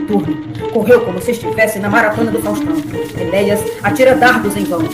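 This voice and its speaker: A woman with a Brazilian accent playing a cheeky, excitable storyteller narrating a comic radio drama. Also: mid-range voice, quick pace, lightly acted. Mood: excited, dramatic. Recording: roomy echo, music behind.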